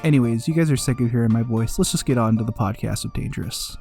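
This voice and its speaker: A man's voice: medium pitch, expressive, fast, warm tone. A man co-hosting a podcast in a casual, nervous and apologetic way, recorded on a computer microphone. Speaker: podcast co-host. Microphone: computer's built-in microphone. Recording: computer mic, music behind intro.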